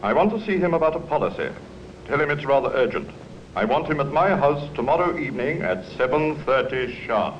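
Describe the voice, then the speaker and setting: An actor playing a curt, insistent gentleman in a vintage radio drama. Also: mid-range voice, expressive, brisk, lightly acted. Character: curt, insistent gentleman caller leaving an urgent message. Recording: dull old radio recording, faint hiss.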